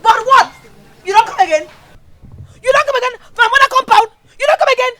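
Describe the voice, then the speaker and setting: A woman's voice: high voice, expressive, brisk, fairly bright tone. A woman with a Nigerian accent playing a hot-headed, defiant, street-tough daughter in a film drama. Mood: furious. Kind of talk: film drama dialogue in Pidgin English. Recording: music behind the voice.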